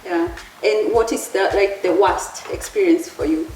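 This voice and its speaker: A woman's voice: mid-range voice, very animated, a little slow, darkish tone. A woman hosting an interview show, asking questions and reacting with frequent short affirmations. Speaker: host. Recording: echoey room from a distant mic, faint noise.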